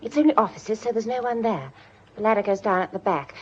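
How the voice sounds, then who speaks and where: An actress playing an anxious, devoted young granddaughter in a British radio drama, pleading and emotional. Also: female voice, medium pitch, expressive, a little fast, husky. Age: young adult. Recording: muffled radio recording, slight noise.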